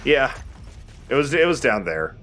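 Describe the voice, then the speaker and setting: A man's voice: mid-range voice, sing-song, unhurried, a bit bright, a little hiss or hum. A man giving casual let's play commentary as the player, informal, sweary and self-deprecating.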